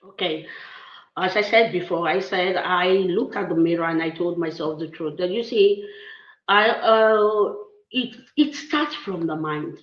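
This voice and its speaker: A woman's voice: on the low side, measured pace. A woman from Nigeria with a Nigerian accent, telling her personal story as an interview guest, emphatic and repeating her phrases. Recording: roomy echo, dull lo-fi sound.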